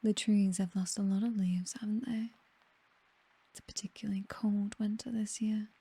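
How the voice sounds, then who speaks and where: A British woman playing a possessive, seductive vampire mistress in an intimate ASMR roleplay, with a breathy whisper and a languid, condescending, aristocratic way of teasing. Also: mid-range voice, steady tone, moderate pace, dark tone, no echo. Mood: wistful, languid.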